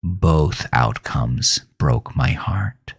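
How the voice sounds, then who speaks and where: Deep, gravelly man narrating horror. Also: animated, extremely slow. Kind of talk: scripted first-person horror narration.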